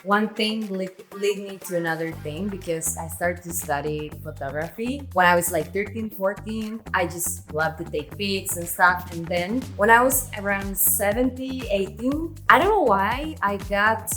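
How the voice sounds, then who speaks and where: A woman's voice: mid-range voice, normal speed, few pauses, a bit bright, soft background music. A woman in her twenties from Venezuela, speaking English with a Venezuelan Spanish accent in a casual, chatty solo Q&A vlog. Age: 20s. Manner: chatty, laughs often, non-native grammar. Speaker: vlogger.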